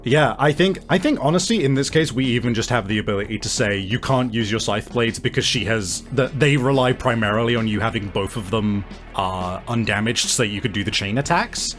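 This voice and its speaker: A man narrates a tabletop game as its game master. His voice sits at an average pitch, and he talks casually with plenty of swearing. Music plays behind him. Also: fairly quick, a bit bright. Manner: profane, casual phrasing. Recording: music under the voice. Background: background music.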